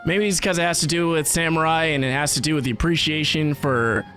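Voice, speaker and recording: Higher-pitched man doing casual game reactions. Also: steady tone, brisk. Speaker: gaming video host. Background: soft game music.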